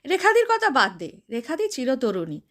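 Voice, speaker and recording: A woman's voice: high-pitched, wildly swooping pitch, normal speed, fairly bright tone, exaggerated voice. A woman storyteller voicing a playful, modest housewife who protests lightly and jokingly. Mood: amused, teasing. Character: playful, modest young housewife, teasing and reluctant.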